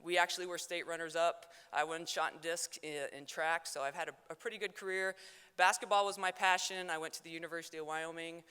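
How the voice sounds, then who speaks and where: Young woman, south dakota-texas accent, loud fast jokey speech, smooth clear voice, echoey banquet hall. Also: on the low side, fairly even intonation. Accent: mix of South Dakota and Texas. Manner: loud, fast, joking, self-deprecating. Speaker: hall of fame inductee giving acceptance speech. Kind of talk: acceptance speech. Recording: hall reverb.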